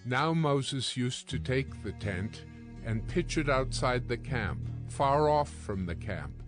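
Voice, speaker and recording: Slow, solemn male scripture narrator. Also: medium pitch, expressive. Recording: music behind the voice.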